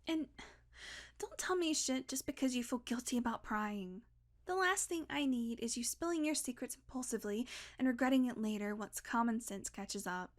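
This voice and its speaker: A woman's voice: fairly high pitch, natural voice. A woman playing a sardonic, blunt vampire who is guardedly caring and coaxing, in an intimate audio roleplay. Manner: sarcastic, blunt, coaxing, sighing, deliberate pauses.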